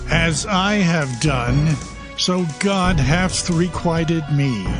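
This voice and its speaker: A maimed, defeated king in an audio scripture drama. He speaks extremely slowly and haltingly, in a raised pitch, with bitter resignation. Music plays behind him. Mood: pained, resigned. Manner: halting, drawn-out, laboured delivery.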